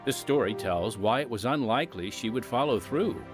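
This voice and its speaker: A man narrating a scripted radio program with solemn, measured emphasis. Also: medium pitch, animated, over music.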